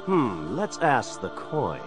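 A man's voice: medium pitch, huge pitch swings, slow, over music. An actor playing a menacing, theatrical crime boss with a split personality in an audio drama, gloating as he talks. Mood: smug, commanding. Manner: theatrical, gloating, speaks of himself as "we".

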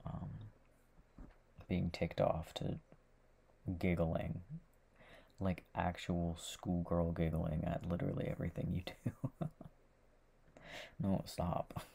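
Casting: male performer as needy young boyfriend, soft and halting, very deep crackly voice, intimate ASMR audio drama. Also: flat delivery, gravelly, husky, heavily acted. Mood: sheepish, amused. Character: tender, needy, giggly young boyfriend seeking comfort.

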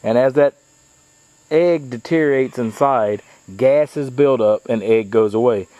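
A middle-aged man talks through a homespun backyard demonstration in a folksy, chatty way, filling pauses with plenty of "uh". He is recorded outdoors on a camera mic, with a dry sound and no room echo. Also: medium pitch, warm tone. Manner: folksy, chatty, with frequent uh fillers. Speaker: small farm owner demonstrating. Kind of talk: casual how-to demonstration.

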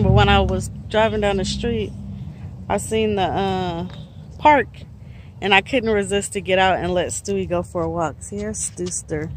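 A woman in her thirties, a truck driver, chatting casually to a phone held at arm's length outdoors, relaxed with lots of fillers. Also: mid-range voice. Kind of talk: casual vlog to phone camera. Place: outdoors in a park and a truck yard. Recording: phone audio with outdoor noise.

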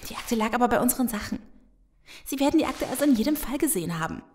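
A woman's voice: fairly high pitch, expressive, racing, a little mellow, slight background noise, lightly acted. A woman playing a despairing, blunt, emphatic survivor in a German zombie audio drama. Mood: distressed.

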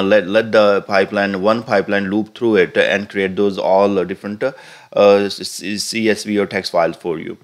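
Low-voiced man teaching a software tutorial. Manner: hesitant, many "uh" fillers and restarts. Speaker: tutorial instructor. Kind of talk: screen-recorded software tutorial. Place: voice-over on a computer screen recording.

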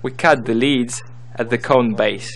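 Male tutorial narrator, mid-pitched, reading scripted instructional material. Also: measured pace.

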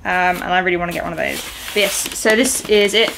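A woman's voice: lowish voice, quick pace, creaky, noisy background. A young woman in her twenties chatting casually while unboxing at home on camera, rambling with lots of ums and uhs. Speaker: video host. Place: small indoor room at home, seated on a sofa.

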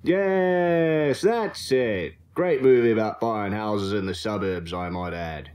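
A man's voice: fairly low pitch, slow, a little mellow, clean tone. A man voicing a pony who hosts a TV game show, with a hesitant delivery. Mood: genial, composed. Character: stammering male pony TV game show host.

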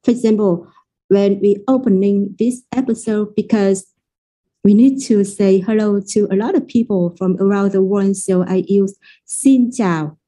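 A woman's voice: medium pitch, normal speed, warm tone, low-quality recording. A woman with a Vietnamese accent, a native Vietnamese speaker, teaching the language in English with careful explanations. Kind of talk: explaining pronunciation in a lesson.

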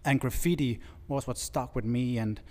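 A man in his thirties from Denmark with a Danish accent, chatting as a guest artist in a relaxed, halting way. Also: mid-range voice, big-room sound. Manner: relaxed, halting, laughs easily. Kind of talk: casual interview chat. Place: large bright studio, indoors. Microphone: stand mic with headphones.

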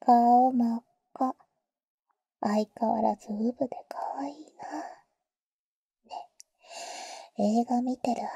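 A woman playing a teasing, protective vampire big sister in an ASMR roleplay, speaking in an intimate, soft whisper close to the mic with cool, boyish phrasing. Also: high-pitched, slightly rough, no echo, heavily acted. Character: teasing, protective female vampire, older-sister type to a boy. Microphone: close microphone, dry.

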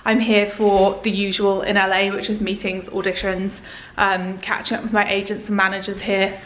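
A woman's voice: medium pitch, level tone, normal speed, fairly bright tone, slight background noise, poor audio. A young woman in her twenties, chatting casually as she films a tour of a rented house with a handheld camera. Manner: chatty, upbeat, rambling with fillers. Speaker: vlogger. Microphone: handheld camera at arm's length.

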